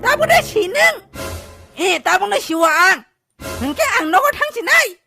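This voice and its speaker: A woman voicing an excitable, squeaky cartoon character with an animated, exclamatory delivery in a dub. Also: unhurried, creaky, exaggerated voice. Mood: animated bickering. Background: faint music.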